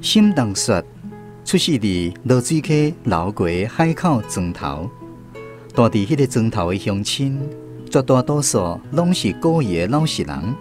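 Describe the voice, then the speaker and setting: A man with a Taiwanese accent reads scripted literary narration for a radio drama. His mid-pitched voice moves up and down in a lively way, with music clearly playing behind him. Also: a little slow.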